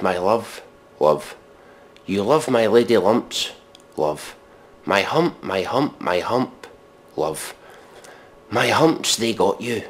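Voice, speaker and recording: A man giving a sarcastic dramatic reading with commentary, deadpan, mock-earnest and sweary. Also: deep voice, slight background noise.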